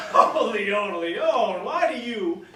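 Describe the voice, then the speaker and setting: A man as a high-voiced, cocky young scholar. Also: animated, drawn-out words, bright, forceful tone, heavily acted. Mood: smug, earnest. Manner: teasing, declamatory. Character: cocky younger male scholar, mocking, progressive, smug. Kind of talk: staged verse comedy reading. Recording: roomy echo, distant mic, faint noise.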